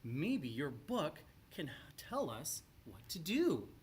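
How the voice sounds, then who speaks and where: A man playing a gentle, downhearted little boy dragon in a storybook. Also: fairly high pitch, huge pitch swings, slow pace, exaggerated voice. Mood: resigned.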